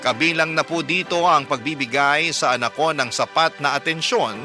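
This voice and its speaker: A man's voice: on the high side, normal speed, bright, forceful tone. A man narrating a listener's letter as a radio host, in dramatic scripted storytelling. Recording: music clearly behind the voice.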